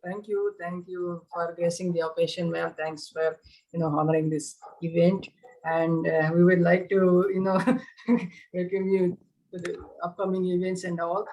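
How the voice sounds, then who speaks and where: A woman's voice: on the low side, little pitch movement, normal speed, dark tone, lo-fi sound. A woman with an Indian accent hosting an online poetry event, effusive and deferential, often repeating her phrases.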